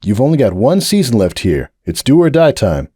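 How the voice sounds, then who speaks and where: A man's voice: medium pitch, very animated, moderate pace, mellow, breathy edge, dry recording, clean studio sound, voice pushed up. A man doing a stern stallion team captain. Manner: firm, lecturing and serious.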